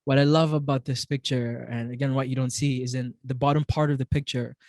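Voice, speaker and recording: A man's voice: medium pitch, flat delivery, a little fast, dry recording, studio quality. A young man from Congo, a native Swahili speaker, giving a motivational talk as a storyteller, often repeating words as he goes. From Democratic Republic of Congo. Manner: warm storytelling with frequent repeated words and chuckles.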